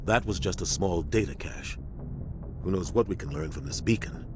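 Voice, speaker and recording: A grave, commanding middle-aged captain with a very low voice. He briefs in measured, authoritative military fashion, as in a sci-fi video game. A clear engine rumble sits behind him. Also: expressive. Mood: earnest, explaining. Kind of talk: video game dialogue acting.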